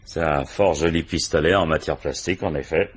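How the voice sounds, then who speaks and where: An actor playing a gruff, paternal, world-weary police commissioner in a French radio crime drama, muttering colloquially with dry irony. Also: male voice, a bass voice, brisk. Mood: ironic, probing. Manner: colloquial, muttering, dryly ironic.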